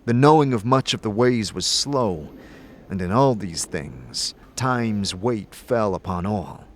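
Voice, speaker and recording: A man reading scripted, dramatic fantasy narration in a measured, solemn storytelling manner. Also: lowish voice, expressive, slight background noise. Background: music.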